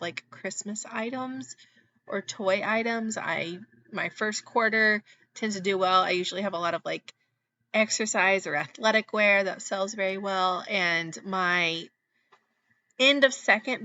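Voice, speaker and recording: A woman in her thirties hosting her own channel, chatting casually in a voice-over, her voice slightly hoarse after being sick, with frequent ums. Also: medium pitch, pushed and bright, lo-fi sound. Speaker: channel host, reseller. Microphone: voice-over mic.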